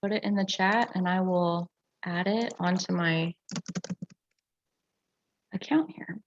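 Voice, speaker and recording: A woman's voice: on the low side, fairly even intonation, slow pace, slight background noise. A woman teaching in a casual, reflective way on an online group call, often saying "like" as she explains. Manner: reflective, frequent "like" filler. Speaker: astrology teacher and session facilitator. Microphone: video call. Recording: dull, lo-fi video call audio.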